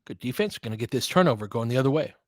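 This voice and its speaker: A man's voice: mid-range voice, expressive, very fast, dry recording. Casual man calling basketball, very dry sound. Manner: conversational, opinionated, coaching players aloud. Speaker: fan play-by-play commentator. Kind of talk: basketball play-by-play with casual analysis.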